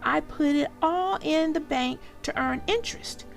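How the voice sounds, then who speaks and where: Woman as a prim, high-voiced boastful schoolgirl. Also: unhurried, gravelly, soft background music. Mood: proud. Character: business-minded, boastful little schoolgirl in a storybook.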